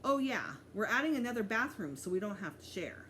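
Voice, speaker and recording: A woman's voice: mid-range voice, very animated, a little fast, a bit bright, a little hiss or hum. A woman voicing a cocky, enthusiastic school-age boy who likes to show off, in a children's storybook reading. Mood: eager. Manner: boastful, eager.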